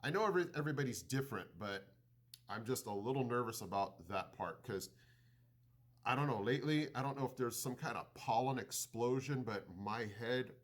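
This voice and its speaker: A man with a stuffed-up, nasal voice talks to his viewers at a middling pitch. He muses out loud, hesitates, and asks them for advice. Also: expressive, brisk. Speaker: vlogger. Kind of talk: casual chat to camera.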